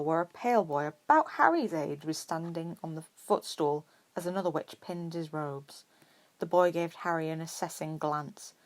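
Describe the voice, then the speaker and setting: A woman with a slightly low voice narrates a piece of fiction. She reads from a script in the style of an audiobook storyteller. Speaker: podfic narrator.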